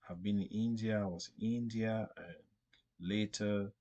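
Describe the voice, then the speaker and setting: A man narrating an unscripted step-by-step screen tutorial in a halting, repetitive way. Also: lowish voice, fairly even intonation, dark tone, dull audio. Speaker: tutorial presenter.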